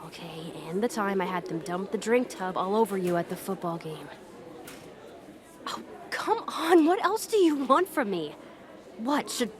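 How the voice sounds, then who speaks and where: A woman playing a bossy, easily flustered teenage popular bully in an audio roleplay, exasperated and pushy, halting when embarrassed. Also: mid-range voice, close to an everyday voice. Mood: grudging, irritated. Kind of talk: acted ASMR roleplay monologue to the listener.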